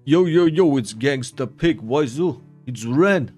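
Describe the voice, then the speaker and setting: Slangy young punk pig, boastful prankster bro for a game dub played by a man, unhurried, music behind. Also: medium pitch, animated, darkish tone. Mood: bragging, hyped. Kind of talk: voiced-over video game dialogue.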